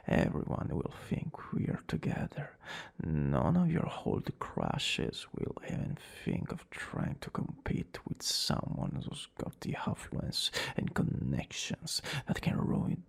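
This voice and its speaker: A man's voice: fairly high pitch, exaggerated voice. A man playing a smug, dramatic young villain student who is secretly smitten, in an ASMR romance roleplay. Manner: dramatic, drawn-out boasting that slips into breathy whispers and flustered exclamations. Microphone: close studio-style microphone.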